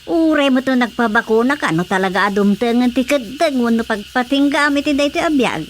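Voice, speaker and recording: A woman's voice: fairly high pitch, lively intonation, a little fast, slight background noise, natural voice. A woman playing a stubborn, matter-of-fact villager in a radio drama, speaking with an Ilocano Filipino accent. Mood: resigned. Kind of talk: radio drama comedy acting.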